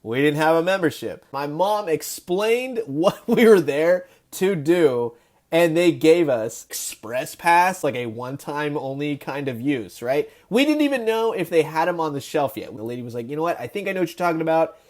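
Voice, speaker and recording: Male toy-review host, slightly high voice, casual and enthusiastic, animated storyteller who leans on key words. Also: normal speed.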